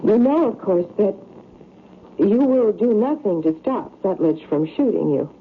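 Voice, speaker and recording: Creaky-voiced troubled woman psychiatrist. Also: medium pitch, expressive, a little slow. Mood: grave, uneasy. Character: troubled, insistent female psychiatrist haunted by fatal dreams. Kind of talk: old-time radio drama acting. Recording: narrow, noisy vintage radio broadcast.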